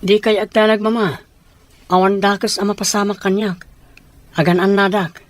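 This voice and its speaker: A woman's voice: medium pitch, level tone, normal speed, no room sound, natural voice. A woman acting an earnest, trusting grown child in an Ilocano family audio drama. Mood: earnest, reassuring.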